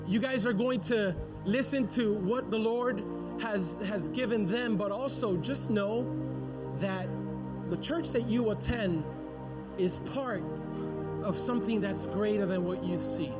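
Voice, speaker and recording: A male pastor with a notably high voice urges his congregation on with energy. He speaks from the front of a church sanctuary, with music playing clearly behind him. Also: a little slow. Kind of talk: church service announcement.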